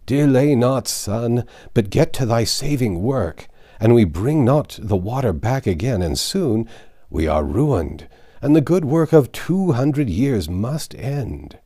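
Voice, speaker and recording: Storybook abbot, elderly, anxious and insistent, archaic delivery, mellow tone, played by a male reader. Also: mid-range voice, very animated, slow. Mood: pleading. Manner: pleading, solemn archaic speech.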